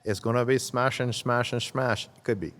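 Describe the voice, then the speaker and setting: A man's voice: mid-range voice, steady tone, normal speed, a bit bright, big-room sound. A man talking as a guest in a casual interview, rambling and repeating words as he searches for his thoughts. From Canada.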